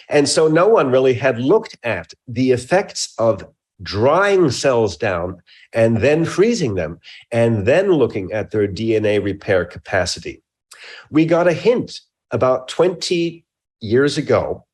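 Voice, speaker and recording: Measured man explaining scientific research. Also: medium pitch, a little mellow. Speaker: research scientist, interview guest.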